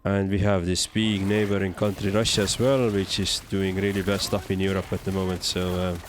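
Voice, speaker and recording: A man's voice: sits low, little pitch movement, brisk, a little hiss or hum. A man from Estonia with an Estonian accent, casually commentating on a game and chatting as a livestreamer. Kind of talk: casual live gameplay commentary and chat.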